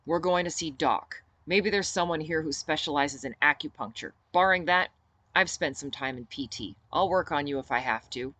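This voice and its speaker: A woman's voice: low-pitched, normal speed, fairly bright tone, no echo. A woman reading an audiobook and voicing a firm, commanding male rebel fighter who likes to tease. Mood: firm, insistent.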